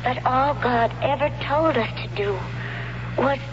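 An actress playing a grieving woman giving a dying testament, solemn and deliberate, in old radio drama style. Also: high voice. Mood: solemn sorrow. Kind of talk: 1970s radio drama acting. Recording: muffled, noisy old radio recording.

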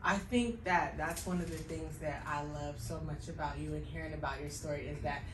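A woman's voice: deep voice, fairly even intonation, moderate pace. A woman with an American accent, conducting a conversational interview. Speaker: interviewer, church social media member. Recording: echoey, distant microphone, faint noise.

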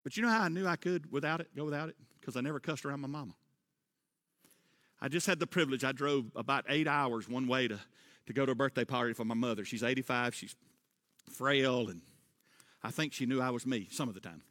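A middle-aged man preaching a sermon, rising into loud emphatic refrains and dropping back to a quieter tone. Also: mid-range voice, fairly quick. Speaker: pastor. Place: church sanctuary. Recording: long church reverb.

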